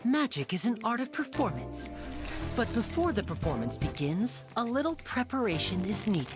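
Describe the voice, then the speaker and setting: Lively, theatrical young male stage magician. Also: a little high, normal speed. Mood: mysterious, inviting. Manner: showy, theatrical, teasing. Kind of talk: game trailer character acting. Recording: loud music under the voice.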